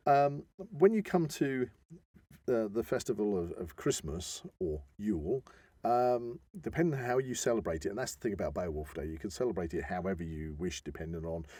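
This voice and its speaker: A man's voice: on the low side, lively intonation, moderate pace, warm tone, dry recording. A man explaining things enthusiastically in a casual podcast chat, often wandering into asides as he tells the story. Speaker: podcast host.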